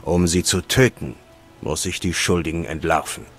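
An actor playing a cool, gruff witcher in a dubbed video game. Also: deep voice, normal speed, faint music behind. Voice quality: flat, cool. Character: gruff grown male monster-hunting witcher.

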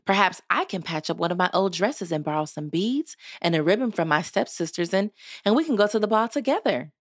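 A woman voicing a gentle young servant girl in a storybook, polite and wistful, with an earnest, hesitant manner. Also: fairly low pitch, expressive, fairly quick, no room sound, studio quality. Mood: hopeful.

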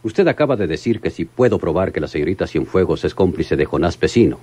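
Deep, husky Mexican police lieutenant. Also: male voice, lively intonation, fast, dark tone, no echo. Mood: insistent. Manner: formal, emphatic, respectful. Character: earnest, formal police lieutenant defending his case. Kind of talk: radio drama acting.

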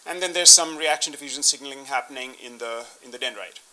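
A man's voice: medium pitch, quick pace, sharp tone, poor audio. A man in his fifties explaining slides in a scientific talk as a keynote speaker, often restarting his phrases. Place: indoor conference room, standing at a podium with laptop.

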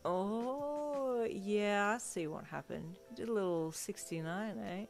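A woman's voice: medium pitch, slow, soft background music. A woman voicing a friendly, cheeky teenage boy in a story, with a casual, teasing, smirking delivery.

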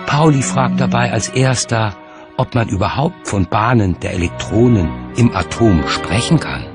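A man reading scripted documentary narration in a measured, articulate way. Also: mid-range voice. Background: music.

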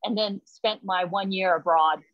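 A woman's voice: mid-range voice, a little slow, dry recording. A middle-aged woman from Taiwan chatting eagerly from her home kitchen on a close phone camera. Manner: eager, chatty, lots of "you know". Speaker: guest and alumna. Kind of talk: casual video call chat. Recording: dry, dull video call audio.

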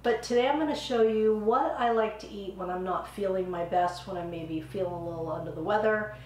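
A woman's voice: mid-range voice, brisk. Middle-aged woman with a cold, casual step-by-step cooking demo, recorded in a roomy kitchen with faint room noise. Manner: friendly, chatty, step-by-step instructions. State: slightly hoarse from a cold. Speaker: cooking channel host. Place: home kitchen, indoors.

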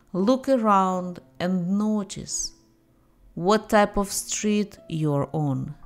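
A woman narrating a guided meditation in a calm, gentle and deliberate manner, giving soothing scripted instructions with pauses. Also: lowish voice. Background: soft meditation music.

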